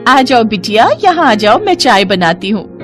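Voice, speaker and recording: Friendly high-voiced neighbour auntie, played by a woman. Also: big pitch swings, moderate pace, soft background music. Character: friendly older neighbour auntie, chatty and hospitable. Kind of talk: Hindi cartoon family drama acting.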